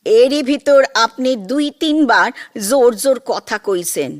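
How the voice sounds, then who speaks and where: A woman's voice: on the high side, lively intonation, measured pace. A woman playing a bossy, volatile, half-mad domestic attendant in a comic audio drama, with a rustic East Bengali accent and a coaxing, scolding manner. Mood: menacing, coaxing.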